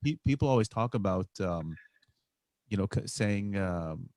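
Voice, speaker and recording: A male podcast host with a fairly low voice asks questions, thinking aloud and stumbling over his words. The recording is close and dry. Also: lively intonation, measured pace, darkish tone, dry recording. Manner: stammering restarts, thinking aloud with many "you know"s. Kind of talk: casual interview talk.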